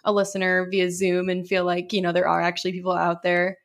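A young woman co-hosting a podcast in casual chat, talking loosely with many fillers and a slightly hoarse voice. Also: lowish voice, completely flat delivery, a little fast. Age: young adult. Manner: chatty, lots of fillers. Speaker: podcast co-host.

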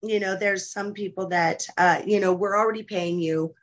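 A woman hosting a podcast in casual interview chat, brisk and down to earth. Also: mid-range voice, steady tone, muffled recording. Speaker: podcast host.

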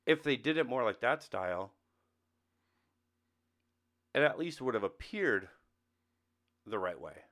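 A man's voice: medium pitch, animated, unhurried, long pauses, fairly bright tone. A man co-hosting a podcast, chatting casually about films and games. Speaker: podcast co-host. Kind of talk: casual film and game discussion.

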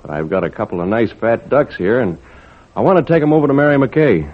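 A man playing a kindly, easygoing lobster fisherman in an old-time radio drama, folksy, gentle and a little hesitant. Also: medium pitch, very animated, fairly quick. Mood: gentle coaxing. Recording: narrow, tinny old radio recording.

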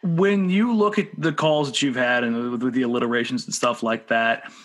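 A man's voice: mid-range voice, fairly even intonation, moderate pace, fairly bright tone, faint music behind. A man co-hosting a podcast, asking questions in a casual, chatty interview style. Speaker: podcast co-host.

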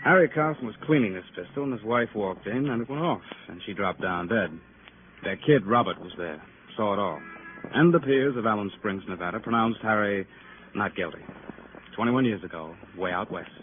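A man's voice: on the low side, lively intonation, normal speed, a little hiss or hum, lightly acted. Man playing wry radio detective, tinny old recording. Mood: wry, deliberate. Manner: sarcastic, drawling in clipped phrases. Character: wry sardonic male police detective, needling suspects. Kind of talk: 1950s radio crime drama acting.

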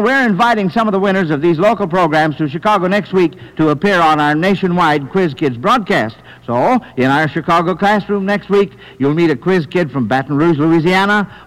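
A man's voice: sits high, fairly bright tone. An American man hosting an old-time radio quiz show in an encouraging, affirming manner. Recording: reverberant hall, vintage radio broadcast.

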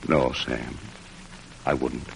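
An actor playing a fatherly, sorrowful army chaplain, soft-spoken and solemn, in old-time radio drama style. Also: very deep voice, little pitch movement, slow, creaky, full character voice. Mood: sorrowful, gentle. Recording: noisy vintage radio drama recording.

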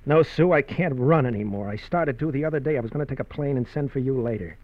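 A man's voice: medium pitch, fast, no echo, close to an everyday voice. Guarded, desperate husband with a crooked past, man acting in classic radio drama, mid-range voice, dull vintage sound. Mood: desperate, weary. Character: troubled husband fleeing his criminal past, guarded and desperate. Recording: muffled old radio show recording.

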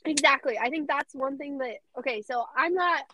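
A young woman with a high, squeaky voice, chatting casually and bubbly as a podcast guest. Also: normal speed, heavy background noise. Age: young adult. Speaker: radio host guest.

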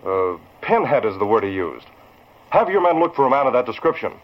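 Decisive vintage-radio boss: husky, expressive intonation, businesslike, slightly muffled old recording. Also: male voice, mid-range voice, brisk. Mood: serious. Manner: businesslike, grave. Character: serious, decisive male newspaper boss. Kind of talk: 1940s radio acting.

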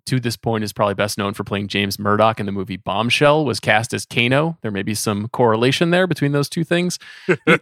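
A man's voice: medium pitch, a bit bright, dry recording, clean studio sound. A man hosting a podcast, chatting casually about films and putting questions to his guests. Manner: conversational, asks the guests questions. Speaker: podcast host. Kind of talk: casual film discussion.

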